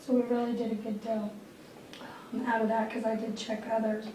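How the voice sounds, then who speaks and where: Squeaky lady reading a board meeting report, kinda flat, echoey room far from the mic. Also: a little fast, a little hiss or hum. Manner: matter-of-fact, clears throat often. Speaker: airport manager giving a report. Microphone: distant room mic.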